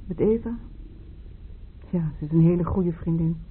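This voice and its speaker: An actress in a radio drama playing a grieving, weary psychiatric patient who speaks haltingly, fixated on her dreams. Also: on the low side, lightly acted. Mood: wistful. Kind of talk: Dutch radio drama acting. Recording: tinny, band-limited, hissy radio play recording.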